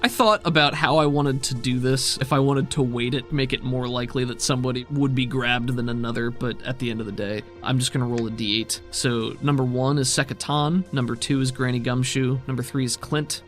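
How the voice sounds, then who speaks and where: A man's voice: medium pitch, level tone, brisk, few pauses, fairly bright tone, faint music behind. A man working as game master, improvising descriptive, conversational narration for a tabletop game.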